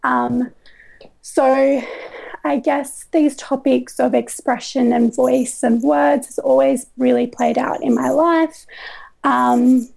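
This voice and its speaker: A young Australian woman with a raspy voice, chatting as a guest with many ums and likes. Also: high voice, fairly even intonation, slow pace, darkish tone, rough recording. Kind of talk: casual interview conversation.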